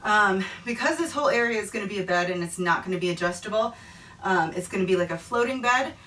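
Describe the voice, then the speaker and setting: A woman's voice: medium pitch, expressive, quick pace, rough recording. A woman in her thirties casually explaining her trailer renovation as she works, thinking aloud and joking at her own expense. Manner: chatty, self-deprecating, thinking aloud. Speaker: DIY renovation vlogger. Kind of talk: casual how-to narration. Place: outdoors on a driveway, and inside a camper trailer. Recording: loud outdoor noise behind.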